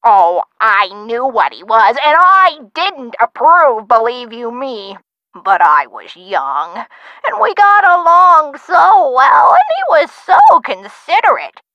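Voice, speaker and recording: A woman playing a feisty, sharp-tongued elderly woman who tells theatrical stories of her youth in a video game. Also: high voice, huge pitch swings, slow, no room sound, lo-fi sound, heavily acted. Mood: nostalgic, animated. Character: feisty, sassy elderly woman, nostalgic for the twenties. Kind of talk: video game character acting.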